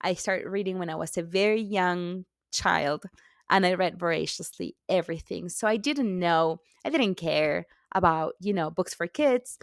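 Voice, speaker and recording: Low, gravelly woman from Argentina. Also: normal speed, no echo, clean studio sound. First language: Spanish. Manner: chatty, laughs often, self-correcting restarts. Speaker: author guest. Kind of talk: podcast interview.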